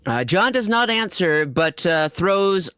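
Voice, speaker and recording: A woman hosting and narrating a comedic amateur fan fiction reading in a giggly, halting way. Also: lowish voice, animated, a bit bright, clean tone, dry recording, studio quality. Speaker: narrator and host.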